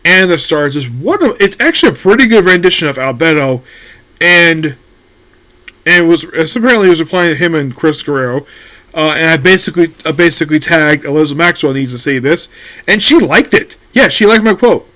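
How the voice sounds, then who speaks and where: A man with a slightly high voice talks through anime news in a loose, meandering way. He stops and restarts often, filling gaps with ums and uhs while he thinks. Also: lively intonation, brisk, sharp tone. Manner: rambling, many fillers and restarts. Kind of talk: casual solo commentary.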